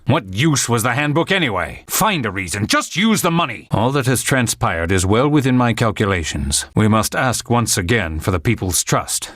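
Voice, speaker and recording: A stiff, calculating male politician who lives by the rules in a video game. He speaks formally and officiously, his pitch moving widely as he goes. The recording is dry and free of room sound. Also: a bit bright, no echo. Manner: formal, officious, measured wording. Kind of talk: dramatic video game voice acting.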